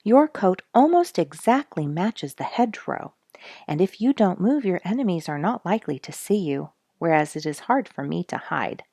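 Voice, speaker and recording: A woman's voice: on the low side, very animated, moderate pace, warm tone, no echo, clean studio sound. A woman voicing a cheerful, polite but worried male bluebird from a storybook, earnest and chirpy. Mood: earnest explaining. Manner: chirpy, earnest, sing-song calls.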